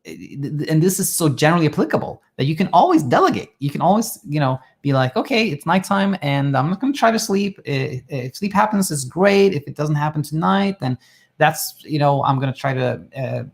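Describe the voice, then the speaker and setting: A man from Sweden who coaches people on sleep, calmly reassuring as he answers listener questions live and thinks aloud with small restarts. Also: on the high side, a little fast, vocal fry. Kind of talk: live Q&A advice talk.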